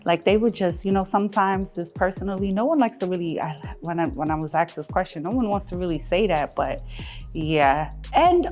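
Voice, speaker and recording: A woman from Jamaica, giving casual, animated and emphatic interview answers as a music artist. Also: on the low side, brisk. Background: background music.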